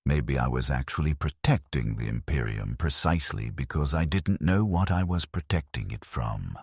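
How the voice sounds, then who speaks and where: A man narrating a dark first-person story in a grave, measured, brooding way. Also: very deep voice, slightly rough, no echo, clean studio sound.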